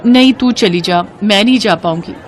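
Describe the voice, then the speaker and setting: A woman acts a modest, principled young student in a cartoon moral story. Her delivery is earnest and held back, at an average pitch. Faint crowd noise sits behind her. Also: normal speed, natural voice. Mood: reluctant, hurt. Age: young adult.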